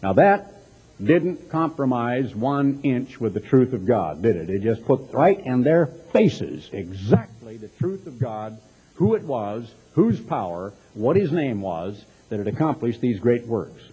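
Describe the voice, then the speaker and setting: A man's voice: medium pitch, big pitch swings, unhurried, warm tone, slightly rough. A man with a Texas accent preaching a sermon, reading scripture and commenting on it in an emphatic, dramatic way with rhetorical questions and mocking asides. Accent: American, Texas. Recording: dull lo-fi sermon recording.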